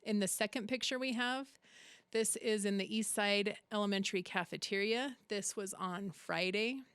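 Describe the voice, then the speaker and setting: A woman's voice: mid-range voice, moderate pace, a bit bright, smooth, no room sound, studio quality. A woman speaking as a school district technology officer, giving a prepared update to the community.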